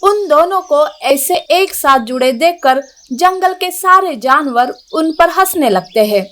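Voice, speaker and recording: A woman reads a children's story as narrator. Her voice is quite high. She delivers the scripted lines at a slow, measured pace. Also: a bit bright.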